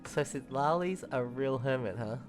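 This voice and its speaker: A woman's voice: very deep voice, expressive, moderate pace. A woman giving casual, amused commentary as she plays through a game. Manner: amused, giggly. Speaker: game streamer. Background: game music.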